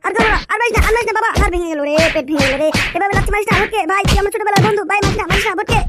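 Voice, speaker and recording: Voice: very high-pitched, rapid-fire, over loud music. A performer voicing a cowardly young village man, whining and begging in an exaggerated comic Bengali drama style. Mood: pleading.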